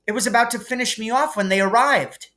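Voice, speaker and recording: A man's voice: extremely high pitch, a little fast, bright, forceful tone, pitched above the performer's own voice. A man voicing an anxious, bookish young schoolgirl in a storybook, explaining earnestly and a little hurriedly. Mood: earnest pleading.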